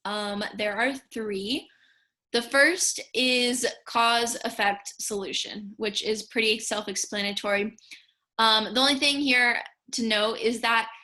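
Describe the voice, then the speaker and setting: Bright-voiced young woman explaining casually. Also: a little high. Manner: casual, tentative, hedging with "kinda" and "I guess". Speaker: speech and debate coach or student instructor. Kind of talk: informal slideshow lesson, explaining.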